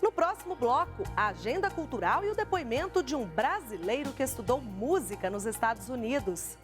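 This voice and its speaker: A female presenter with a high, lively voice introduces segments in a polished, scripted delivery. Music plays clearly behind her. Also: brisk. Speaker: program presenter.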